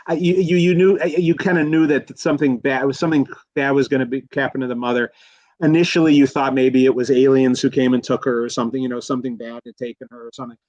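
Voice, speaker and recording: A man in a casual film discussion as a guest expert, speaking in a halting, rambling way and often correcting himself. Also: medium pitch, a little mellow, no room sound, muffled recording. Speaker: guest expert, college professor.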